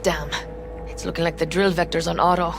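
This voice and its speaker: A woman's voice: fairly low pitch, little pitch movement, a little fast, vocal fry, over loud music. A woman playing a brash, salty nomad technician in a video game, blunt and sardonic. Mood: brash, impatient. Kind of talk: dramatic video game acting.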